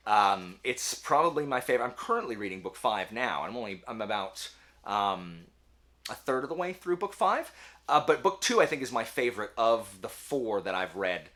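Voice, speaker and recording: A man talking casually as the host of a book review channel, with a slangy, self-deprecating, animated manner. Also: fairly low pitch, normal speed. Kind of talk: casual chatty monologue to camera.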